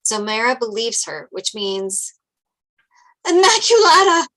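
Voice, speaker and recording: A woman's voice: medium pitch, unhurried, a bit bright, rough recording. A woman reading stage directions as narrator at a script table read.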